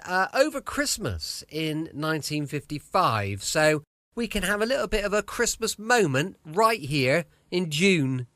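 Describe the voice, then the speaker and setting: A dry, close recording of a British man presenting a late-night podcast. His voice is fairly high for a man and very animated, with a gentle, homely, chatty manner. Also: no echo. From southwest United Kingdom. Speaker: podcast host.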